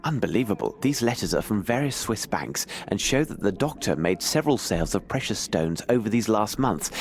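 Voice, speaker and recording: An actor playing a cerebral Victorian detective in a video game, with a formal, articulate way of musing aloud. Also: male voice, lowish voice, lightly acted. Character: Victorian male detective, cerebral, self-assured, inquisitive. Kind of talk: video game dramatic narration to himself.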